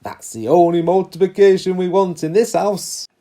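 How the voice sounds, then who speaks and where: A man playing a calm, patient dad explaining things reassuringly in a children's story. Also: high-pitched, moderate pace.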